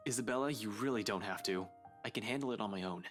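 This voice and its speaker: A man's voice: lowish voice, fast, close to an everyday voice. A man voicing a guarded young male detective with dry, teasing humor in a visual novel game. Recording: game dialogue with loud backing music.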